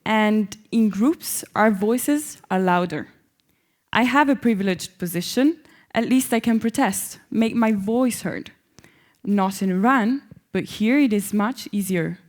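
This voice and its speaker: A woman's voice: mid-range voice, measured pace, a little mellow, lightly acted. Hopeful, independent young Iranian woman, read by a woman for a staged play, mid-range voice. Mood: earnest. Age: young adult. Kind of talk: staged reading of a short play.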